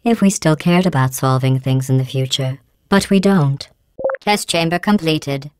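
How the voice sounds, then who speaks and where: A cold female machine intelligence purrs out mock-polite insults in a low, smooth register. Every line lands dry and close, with no room around it, as she talks down to her test subjects in flat, passive-aggressive calm. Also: a little slow, dry recording. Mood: deadpan sarcastic. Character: cold, sarcastic female AI running a test facility. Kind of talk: video game character dialogue.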